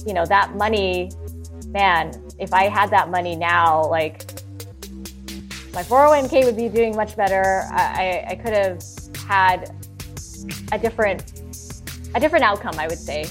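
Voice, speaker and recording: A woman's voice: mid-range voice, unhurried, pauses often, faint music behind. A woman interviewee speaking wistfully with hesitant restarts.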